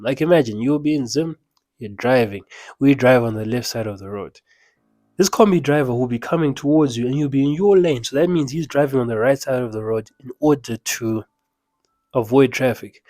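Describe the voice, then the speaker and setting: A man's voice: medium pitch, a little mellow, no echo. A young man with a Zimbabwean accent, giving an emphatic, conversational motivational talk with chatty asides. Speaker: mindset coach. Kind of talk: casual motivational talk to camera.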